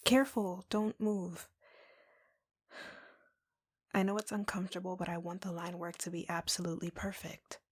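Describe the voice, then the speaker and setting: Female performer for yandere girlfriend roleplay: soft, lowish, even-toned, obsessively in love, confiding at close quarters. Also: no echo. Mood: soothing, focused. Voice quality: soft, breathy, whispery. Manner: slow, intimate, halting confiding. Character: lovesick, obsessive yandere woman tattoo artist. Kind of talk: intimate girlfriend roleplay audio, POV.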